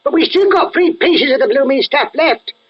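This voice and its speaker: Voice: high-pitched, expressive, moderate pace. An actor playing a sly, nervous little underworld henchman with a Cockney accent and a whiny, wheedling manner in 1940s radio style. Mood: grumbling. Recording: muffled vintage radio recording.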